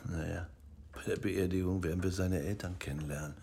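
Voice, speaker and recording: Gravelly, hearty police comrade. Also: male voice, low-pitched, steady tone, a little fast, mellow, slight background noise. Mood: subdued, reflective. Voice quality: gravelly, husky. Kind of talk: radio drama acting.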